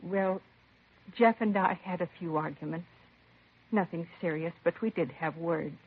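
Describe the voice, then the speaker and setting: A woman's voice: lowish voice, unhurried. An actress playing a composed middle-aged mother of a slain son in a 1950s radio crime drama, answering plainly and with restraint. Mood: confiding. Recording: vintage 1950s radio, narrow husky sound.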